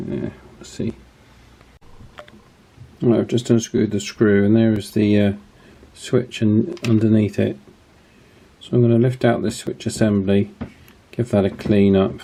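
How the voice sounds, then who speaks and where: A man with a fairly low voice talks through repair work as the host of a hobby channel. His delivery is informal and matter-of-fact. He explains things casually, with some hesitation as he goes. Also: level tone, slow pace, pauses often, dark tone, slight background noise.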